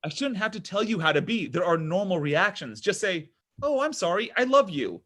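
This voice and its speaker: Comedy table read: male actor as petulant, self-absorbed young guy, slightly high voice, big melodramatic swings. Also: very animated, moderate pace, a bit bright, full character voice. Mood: needy, pleading. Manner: melodramatic, whiny pleading. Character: self-absorbed, needy, petulant young man, son and jilted boyfriend.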